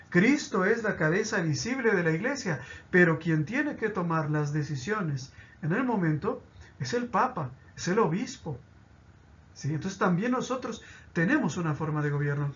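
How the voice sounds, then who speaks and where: A man's voice: fairly high pitch, a bit bright, muffled recording. A man teaching a pastoral catechism lesson, explaining with examples and often checking in with "¿sí?".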